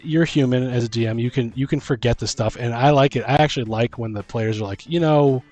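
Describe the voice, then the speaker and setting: A man's voice: medium pitch, fairly quick, darkish tone, dry recording. A man talking casually and informally as a podcast host and dungeon master, thinking aloud about running tabletop games.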